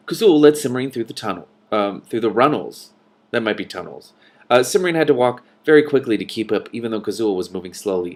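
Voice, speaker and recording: A man reading a novel aloud as host, with animated, casual reactions between passages. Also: lowish voice.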